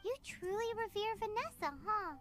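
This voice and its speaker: Fairy sidekick: extremely high, squeaky, childlike, earnest and outspoken, anime fantasy game. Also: female voice, moderate pace, a bit bright. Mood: curious. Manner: earnest, exclamatory, refers to self in third person. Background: game music.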